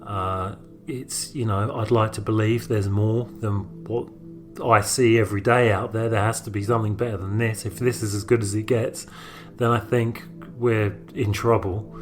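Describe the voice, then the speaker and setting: Male storyteller, lowish pitch, informal first-person account, faint music behind. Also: fairly even intonation, moderate pace. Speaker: horror writer, storyteller. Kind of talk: unscripted personal anecdote.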